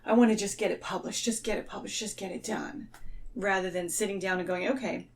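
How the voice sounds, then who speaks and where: A woman's voice: mid-range voice, steady tone, fairly quick, distant mic. A woman hosting a podcast, talking casually in a conversational discussion. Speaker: podcast host.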